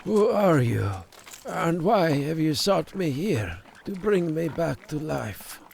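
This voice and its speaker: An actor playing a weak, grateful, pious man revived from near death, speaking in a halting, reverent way for a biblical audio drama. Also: fairly high pitch, very animated, a little mellow, slight background noise, heavily acted. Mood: weak, bewildered. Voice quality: gravelly.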